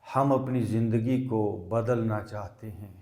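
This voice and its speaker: A man leading a guided meditation as a teacher, speaking in deliberate short phrases with long pauses. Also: fairly low pitch, fairly even intonation, warm tone. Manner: mixes English words into Hindi. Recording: echoey, reverberant room sound.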